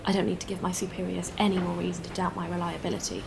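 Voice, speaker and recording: A woman's voice: fairly low pitch, little pitch movement, very fast, vocal fry, background noise. A woman playing a candid, friendly spacefarer in a sci-fi audio drama, talking in a casual, conversational way. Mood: wary. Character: candid, weary but friendly female spacefarer.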